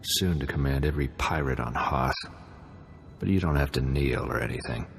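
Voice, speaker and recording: Very deep, swaggering pirate captain. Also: male voice, moderate pace, creaky, husky, long reverb tail, exaggerated voice. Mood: smug taunting. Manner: boastful, mocking. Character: swaggering, menacing pirate captain, smug and vain. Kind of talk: video game cutscene acting.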